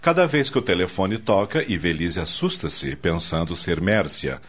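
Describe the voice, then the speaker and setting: Deep, very expressive male radio narrator. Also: moderate pace. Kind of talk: scripted radio drama narration. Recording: dull lo-fi radio recording.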